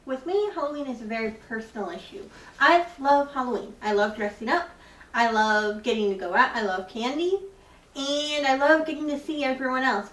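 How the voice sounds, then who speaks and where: Woman, high voice, enthusiastic giggly chatter, echoey room. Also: fairly bright tone, recorded from a distance. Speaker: podcast guest. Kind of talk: casual chat.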